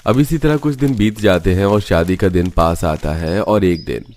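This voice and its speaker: A man's voice: deep voice, normal speed, a little mellow. A man narrating a scripted cartoon family story as the storyteller.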